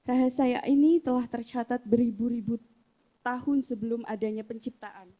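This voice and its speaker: A young woman reading a spiritual prologue to an audience in a measured way, with short filled pauses. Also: fairly high pitch, fairly even intonation, warm tone. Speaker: reader of the opening prologue.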